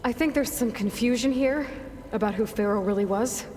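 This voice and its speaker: An actress playing a skeptical, stubborn young heroine in a video game, with a wry, plain-spoken manner. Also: female voice, fairly high pitch, moderate pace, close to an everyday voice. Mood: wary, puzzled. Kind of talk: naturalistic video game acting. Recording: loud music behind the voice.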